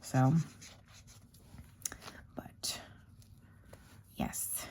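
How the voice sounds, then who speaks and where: A young woman chatting casually as she colors in a craft vlog, her voice going a bit hoarse. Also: extremely slow, darkish tone, whispered. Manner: rambling, chatty, narrates while coloring. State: losing her voice. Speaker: craft YouTuber.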